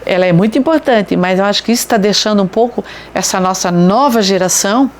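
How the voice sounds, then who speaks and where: A woman with a mid-range voice speaks in a documentary interview. Her delivery is lively and conversational. Faint ambient noise hums in the background. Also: medium pitch, a little fast.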